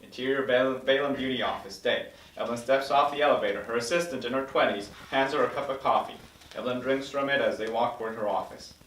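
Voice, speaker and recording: A man's voice: mid-range voice, fast, fairly bright tone, distant mic. A man reading screenplay stage directions as narrator at a table read. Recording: echoey room, slightly off-mic.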